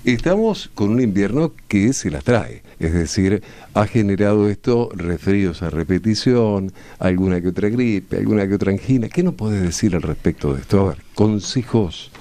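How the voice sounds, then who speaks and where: A male radio host with a Rioplatense Argentine accent. His voice is very low and dark, with a soft, relaxed tone, and he interviews in a conversational way. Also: normal speed.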